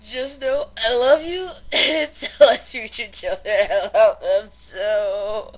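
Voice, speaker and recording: A woman's voice: a little high, fairly even intonation, slow. A woman playing a drunk, lovesick young mare disc jockey who slurs and rambles melodramatically in a fan fiction audio drama. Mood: sobbing drunk. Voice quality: slurring.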